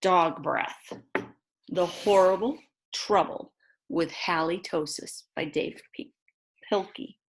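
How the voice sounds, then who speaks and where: Woman reading a picture book aloud, lowish voice. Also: takes it slowly, pauses often, rough recording. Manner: expressive, exclaims and gasps over the pictures. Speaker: read-aloud storyteller for children. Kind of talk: picture book read-aloud with asides to young listeners.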